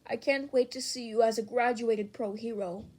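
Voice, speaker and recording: Woman doing kind old lady, polite, soft mellow voice, storybook character. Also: fairly high pitch, steady tone, moderate pace, close to an everyday voice. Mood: kind, grateful. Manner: kindly, polite. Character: kindly, grateful elderly lady train passenger.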